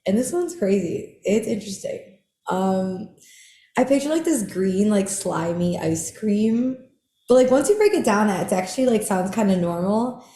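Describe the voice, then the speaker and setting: A young woman hosts a podcast in relaxed chat, giggling often and filling her talk with little "like"s. Her voice is mellow and soft-edged, and the room around her rings with a roomy echo. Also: mid-range voice, measured pace. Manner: giggly, chatty, lots of "like". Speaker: podcast host. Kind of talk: casual chat. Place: in person, indoors.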